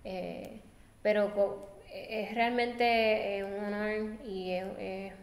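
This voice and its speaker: A woman's voice: medium pitch, level tone, drawn-out words. A woman, a designer being interviewed, answering thoughtfully and searching for her words while seated at a table. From Puerto Rico. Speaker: guest designer. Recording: roomy echo with long reverb.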